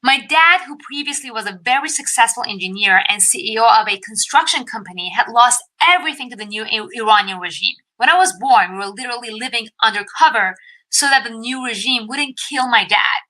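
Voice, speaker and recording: An upbeat woman from Iran hosts an investing webinar and addresses her viewers directly. Her voice is bright and sits a little high. She tells her own story in a scripted presentation style. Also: animated, fairly quick, rough recording. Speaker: investing educator hosting a webinar.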